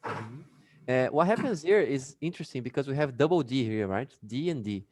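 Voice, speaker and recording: A man's voice: medium pitch, animated, brisk, darkish tone, no room sound. A Brazilian man with a Brazilian Portuguese accent, teaching an English class casually over a video call and chatting with many fillers.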